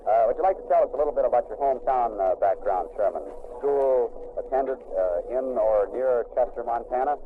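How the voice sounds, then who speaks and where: A man's voice: mid-range voice, fairly quick, few pauses. A man conducting a wartime radio interview aboard a navy ship, chatty and prompting, with light teasing. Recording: tinny lo-fi 1940s radio recording with hiss.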